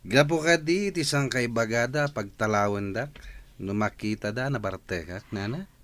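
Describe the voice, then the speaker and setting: A man's voice: mid-range voice, normal speed, fairly bright tone, a little hiss or hum, close to an everyday voice. A man playing a drunken, aggrieved grown son in an Ilocano comedy radio drama, sulky, mumbling and bursting out, with an Ilocano Filipino accent.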